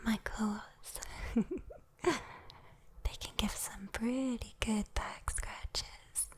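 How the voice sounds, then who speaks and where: A woman's voice: a little high, steady tone, exaggerated voice. Woman playing a whispering, teasing British demon girl. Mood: teasing, coaxing. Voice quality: breathy whisper. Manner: slow, intimate, teasing. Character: sweet, teasing, possessive female demon watching over someone. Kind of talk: whispered ASMR roleplay.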